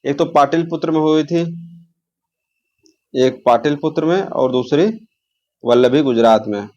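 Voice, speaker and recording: A man's voice: on the high side, measured pace, darkish tone, muffled recording. A man teaching an exam lesson, posing questions, answering them himself and repeating key terms.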